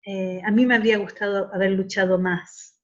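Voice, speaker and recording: The audio is a little muffled and lo-fi. A middle-aged woman speaks at an average pitch in a reflective interview style. She is earnest, often restarting phrases as she looks for the exact word. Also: little pitch movement, normal speed. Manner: earnest, searching for words with repeated starts. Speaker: academic interviewee.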